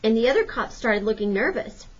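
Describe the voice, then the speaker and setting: A woman's voice: fairly high pitch, big pitch swings, brisk. A woman narrating a children's novel aloud like a teacher reading to pupils. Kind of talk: storybook narration. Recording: slightly dull, lo-fi audio.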